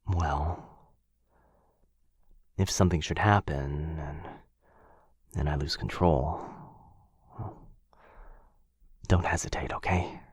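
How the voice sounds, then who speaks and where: A man playing a gentle, protective vampire lover in an intimate boyfriend ASMR roleplay, tender and hushed, with a breathy, husky voice. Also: very deep voice, steady tone, takes it slowly, pauses often, dry recording, clean studio sound, heavily acted. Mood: hesitant, caring. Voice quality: breathy, husky, often whispering. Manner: tender, hesitant, reassuring, hushed. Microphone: close microphone.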